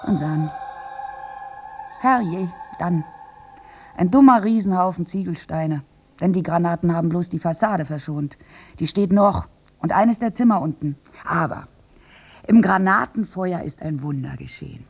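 Bitter, wounded war survivor. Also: female voice, fairly low pitch, a little slow, pauses often, husky. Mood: wistful, dramatic. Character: wounded, bitter village woman among soldiers, survivor of a massacre. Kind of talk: theatrical radio drama monologue.

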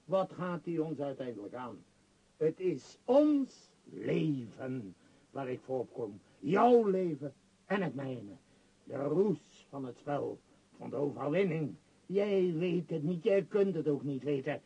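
A man's voice: a little high, very animated, slow, dark tone, slightly rough, heavily acted, poor audio. A male actor playing a power-hungry, grandiose tycoon in a Dutch radio play, declaiming emphatically. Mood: grandiose, pleading. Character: power-hungry, grandiose tycoon obsessed with his world empire.

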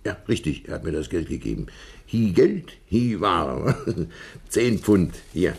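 Genial menacing blackmailer, very deep husky voice, swooping insinuating delivery, radio thriller. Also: male voice, big pitch swings, a little slow, a little mellow, heavily acted. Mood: jovial, sly. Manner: oily mock-friendly, insinuating, chuckling menace. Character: smug male criminal posing as a major, menacingly genial blackmailer. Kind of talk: 1960s German radio crime drama acting.

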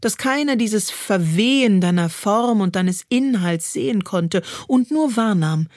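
A woman reciting poetry dramatically, with expressive, deliberate phrasing. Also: medium pitch, no room sound. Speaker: poetry reader. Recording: dry studio recording over music. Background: music.

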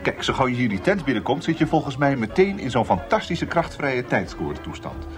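A man's voice: mid-range voice, big pitch swings, a little fast, faint music behind, close to an everyday voice. A man playing a breezy space traveller in a science-fiction radio comedy, casual, rambling and self-assured as he explains. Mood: breezy, explaining. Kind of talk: conversational radio play acting.

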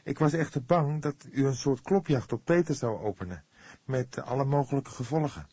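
Audiobook role: protective young woman, firm and earnest, raised pitch, husky edge played by a man. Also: moderate pace, dark tone, dry recording, lightly acted. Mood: calm, earnest. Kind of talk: audiobook character dialogue.

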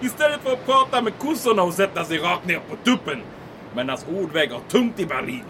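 A man acts a pompous commandant in a comic radio play, with a high voice that swings widely in pitch. He fawns and flusters easily, over a noisy, rumbling background. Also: very animated. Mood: smug. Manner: pompous, ingratiating, peppers talk with German words. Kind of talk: comic audio drama acting.

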